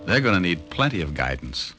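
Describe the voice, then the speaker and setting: An actor playing a hard-boiled private detective narrating in a dry first person, in a 1940s radio drama. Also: male voice, low voice, big pitch swings, brisk. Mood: wry. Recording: old radio narration over music.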